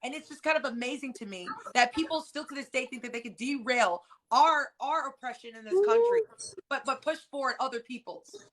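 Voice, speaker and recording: A woman's voice: high voice, lively intonation, a little fast, crowd noise, poor audio. An American woman hosting a live-stream talk show, earnest and conversational. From United States. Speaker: host.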